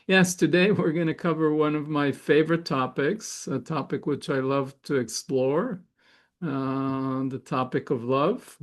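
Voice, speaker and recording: A middle-aged man from Israel, a Hebrew speaker, hosting a spiritual gathering in a thoughtful, halting way with many fillers and restarts. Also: a little high. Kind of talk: reflective spiritual talk.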